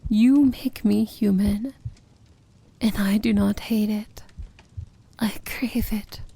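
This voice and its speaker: Breathy, slow-speaking evil princess, played by a woman. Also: mid-range voice, steady tone, mellow, full character voice. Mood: soft, tender. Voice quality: breathy, often whispering. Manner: slow, intimate, commanding yet tender. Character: powerful possessive demon princess, tender and in love. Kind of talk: romantic fantasy ASMR roleplay.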